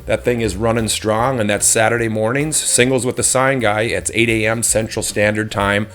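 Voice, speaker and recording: A man with a slightly hoarse voice casually chatting as he opens card packs on his channel, relaxed and rambling with frequent ums. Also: lowish voice, moderate pace, soft background music. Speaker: host of a card-opening channel. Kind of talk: casual chat while opening card packs.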